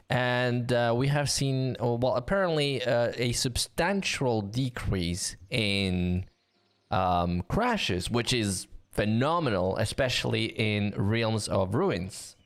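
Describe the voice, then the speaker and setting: A man hosting a live game stream with a sore throat, chatting in an upbeat way with many fillers. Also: mid-range voice.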